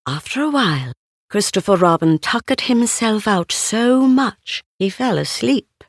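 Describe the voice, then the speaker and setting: A woman's voice: medium pitch, animated, unhurried, no echo, studio quality. A woman narrating a children's story, reading expressively from a script as a storybook narrator.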